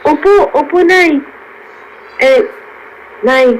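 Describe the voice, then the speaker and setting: Earnest young daughter, faint noise. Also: female voice, high voice, slow, rough recording. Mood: admiring, hopeful. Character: dutiful, wistful young daughter longing for college. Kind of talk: radio drama acting.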